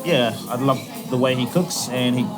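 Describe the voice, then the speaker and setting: Relaxed man from Fiji over music. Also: mid-range voice. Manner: relaxed, many fillers and pauses. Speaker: chef, podcast guest. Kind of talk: casual interview chat. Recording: music playing behind.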